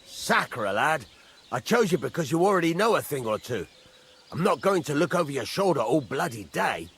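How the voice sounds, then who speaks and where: Gruff master smith for a medieval game: demanding, instructive, forceful and highly animated, over music. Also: male voice, moderate pace, a little hiss or hum. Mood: blunt, brisk. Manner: instructive, blunt, swears mildly. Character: gruff, demanding village master blacksmith teaching a newcomer. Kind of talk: video game dialogue acting.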